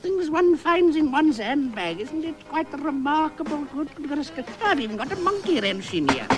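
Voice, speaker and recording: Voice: sits high, big pitch swings, normal speed, low-quality recording. An actor playing a chatty, dotty, old-fashioned lady with a South African accent, rambling and exclaiming in a radio comedy sketch. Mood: chatty, amazed.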